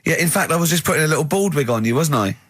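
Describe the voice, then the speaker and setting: A man's voice: fairly high pitch, animated, rapid-fire, a bit bright, no room sound. A man hosting a radio show with casual comic banter, teasing and jokey, often jumping in over others. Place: radio studio.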